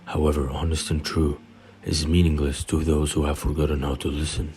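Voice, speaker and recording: A man playing a wise, elderly wizard headmaster with measured, cryptic phrasing in an audio play. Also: rumbling low, level tone, mellow. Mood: grave, cryptic. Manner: measured, deliberate, portentous phrasing. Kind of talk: dramatic audio play acting.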